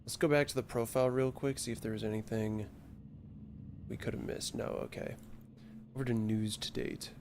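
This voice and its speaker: A man's voice: medium pitch, fairly even intonation, normal speed, long pauses, darkish tone, a little hiss or hum. A man casually commentating on a game and reading text aloud, with a wry, mildly profane manner. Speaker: streamer playing a horror game.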